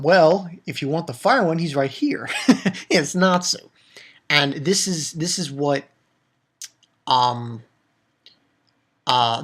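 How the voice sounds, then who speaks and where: A man's voice: on the high side, sharp tone. A man giving an unscripted, casual review as host, blunt and emphatic, swearing freely and rambling through repeated false starts. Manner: ranting, emphatic, blunt and profane. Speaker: manga review video host. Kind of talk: casual off-the-cuff review and recap.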